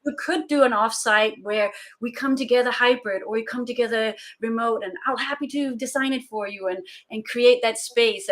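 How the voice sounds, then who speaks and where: Danish-accented woman, fairly high voice. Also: fairly bright tone. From Denmark. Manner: thoughtful, many filler "uh"s. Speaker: guest, remote collaboration consultant. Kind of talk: conversational interview answers.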